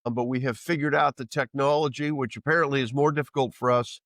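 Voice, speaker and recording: A man's voice: mid-range voice, fairly even intonation, fast talker, fairly bright tone, no room sound. A man hosting an interview podcast over a remote call, chatty and self-deprecating with many fillers, while he has bronchitis. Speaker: podcast host.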